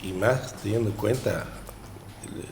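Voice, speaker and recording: A man with an Argentine accent, sitting on an interview panel as public defender and asking questions in a halting, rephrasing way. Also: on the low side, vocal fry, background noise. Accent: Argentine Spanish. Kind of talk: formal questioning.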